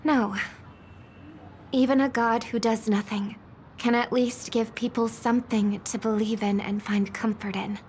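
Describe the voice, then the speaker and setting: A woman voicing a calm, compassionate and resolute young woman in a video game, speaking earnestly and formally. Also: a little high, a little hiss or hum, lightly acted. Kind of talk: dramatic video game voice acting.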